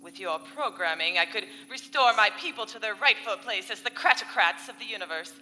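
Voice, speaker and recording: A woman's voice: medium pitch, lively intonation, a little fast, sharp tone. A woman playing a scheming, haughty space villainess in a live comedic radio serial, theatrical and biting. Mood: grandiose, urgent. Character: scheming female space villainess, haughty, exasperated arch-nemesis. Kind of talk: live comedic sci-fi radio play in 1940s serial style. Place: convention hall stage before live audience. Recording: reverberant live hall, faint music.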